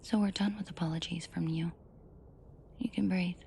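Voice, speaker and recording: A woman's voice: lowish voice, fairly even intonation, gravelly, dark tone, slight background noise. A woman playing a female mafia boss in a scripted roleplay audio drama, controlled and commanding, menacing to her men yet protective and tender. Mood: gentle, commanding. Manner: controlled, commanding, slow and deliberate, often dropping to whispers. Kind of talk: scripted roleplay audio drama, intimate direct address to listener.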